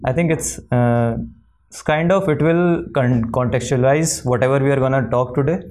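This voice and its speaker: A man's voice: mid-range voice, normal speed, a little mellow. A man hosting a podcast, introducing his guest and asking questions in an admiring way with some fillers. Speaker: podcast host. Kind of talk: interview host introduction and questions.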